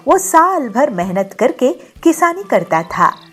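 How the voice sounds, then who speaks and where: A woman's voice: high voice, sing-song, takes it slowly, fairly bright tone, slightly rough, soft background music. A woman narrating a scripted children's moral story.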